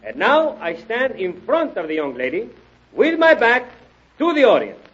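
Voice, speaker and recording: Pompous high-voiced stage magician. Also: male voice, wildly swooping pitch, moderate pace, a bit bright, full character voice. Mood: courtly. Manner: pompous, theatrical, formal phrasing. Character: grandiose, courtly male stage magician. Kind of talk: old-time radio acting. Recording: dull old radio recording, music behind.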